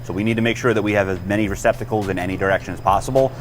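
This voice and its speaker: Fast-talking low-voiced American man. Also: fairly even intonation, slight background noise. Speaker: fire and rescue chief, giving equipment tour. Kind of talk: informal explanatory walkaround.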